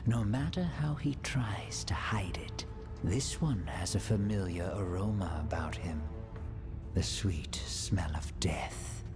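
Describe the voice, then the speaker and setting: An actor playing a sinister, mocking henchman of a dark master in a video game, with silky, breathy menace. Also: sits low, lively intonation. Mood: sinister, taunting. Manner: slow, mocking, breathy menace dropping to whispers. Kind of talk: video game villain voice acting. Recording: music under the voice.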